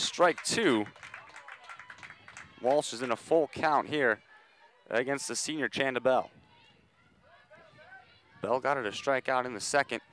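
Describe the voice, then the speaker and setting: A man calling a softball game live on the radio, measured between plays and ready to burst into excited calls. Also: medium pitch. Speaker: play-by-play sports commentator.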